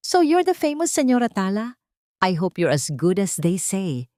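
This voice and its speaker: A woman voicing a shrill, commanding villainess in an audio drama story, condescending and impatient in manner. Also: big pitch swings, normal speed, warm tone, dry recording, clean studio sound, close to an everyday voice. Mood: haughty, scrutinizing.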